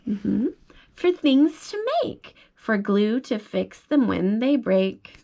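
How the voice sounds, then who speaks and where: A young mother reading a picture book aloud to her toddler at bedtime, slow and sing-song, asking coaxing questions about the pictures. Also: mid-range voice, animated, vocal fry, dark tone. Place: child's bedroom at home. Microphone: phone held above the reader's lap.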